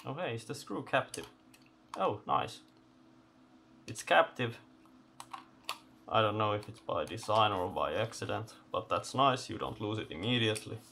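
A man giving unscripted hands-on commentary as he takes electronics apart, halting and thinking aloud in short phrases. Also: on the low side, expressive, creaky, bright, forceful tone, slight background noise. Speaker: host of electronics teardown videos. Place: indoor electronics workbench.

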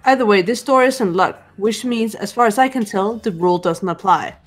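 A woman playing a composed, analytical teenage girl in a visual novel dub, speaking in a calm, matter-of-fact way. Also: medium pitch, normal speed, close to an everyday voice. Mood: decisive. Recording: background game music.

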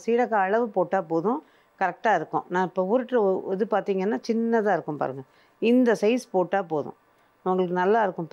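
A woman's voice: mid-range voice, a little mellow, dull audio. Patient older woman teaching a recipe. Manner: patient, practical explaining. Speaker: cooking show presenter. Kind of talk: step-by-step cooking demonstration. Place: TV studio kitchen set.

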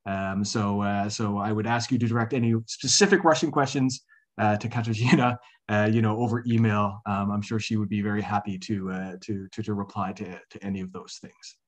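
A man's voice: lowish voice, fairly even intonation, fairly quick. A middle-aged man giving an academic presentation over a video call, with many fillers and restarts. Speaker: guest speaker, associate professor. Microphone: video call webcam.

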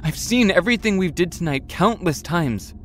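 A man's voice: high voice, animated, normal speed, slight background noise. An actor playing a secretive teenage boy who is caught out, defensive and pleading in an audio drama. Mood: confessing.

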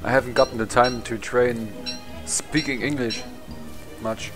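A man's voice: mid-range voice, steady tone, a little slow. German-accented man narrating a game. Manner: rambling, swears, laughs at himself. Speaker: gameplay commentator. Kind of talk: casual let's play commentary. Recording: game music behind the voice.

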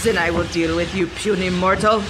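An actress playing a grandiose, imperious Nazi villainess sorceress in a pulp radio drama. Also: female voice, lowish voice, steady tone, normal speed, close to an everyday voice. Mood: scornful. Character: megalomaniac Nazi villainess sorceress chanting to Egyptian gods. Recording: loud music under the voice.

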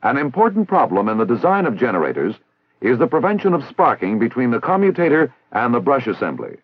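Male narrator, American, for vintage 1960s instructional film; formal and didactic, husky edge, very dry lo-fi sound. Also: mid-range voice, wildly swooping pitch, brisk, no room sound. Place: recorded voice-over.

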